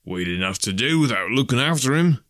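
A man's voice: on the low side, normal speed, a bit bright, dry recording, clean studio sound, natural voice. Man voicing a wary, grumbling rustic police constable. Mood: aggrieved. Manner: uneducated dialect phrasing, aggrieved.

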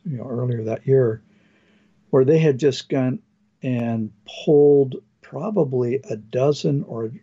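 A man's voice: mid-range voice, creaky, mellow, slightly rough. A man hosting a podcast, asking questions and chiming in while he is losing his voice. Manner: conversational, asks questions, interjects often. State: losing his voice, clearing throat. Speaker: podcast host. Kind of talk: casual podcast chat. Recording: dull lo-fi sound.

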